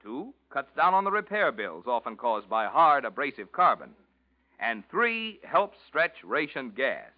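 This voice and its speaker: Brisk, hard-selling 1940s announcer. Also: male voice, medium pitch, big pitch swings. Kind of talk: 1940s radio commercial. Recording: muffled old radio recording.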